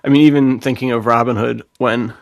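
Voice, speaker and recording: A man co-hosts in a loose, rambling, excitable style. His mid-pitched voice has a lot of creak and is recorded dry. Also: fairly quick, no echo. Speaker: podcast co-host. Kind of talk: casual chat.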